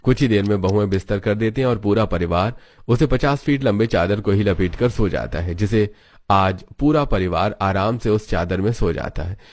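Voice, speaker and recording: A man's voice: on the low side, normal speed, darkish tone, no room sound. A man reading scripted storybook narration for a cartoon.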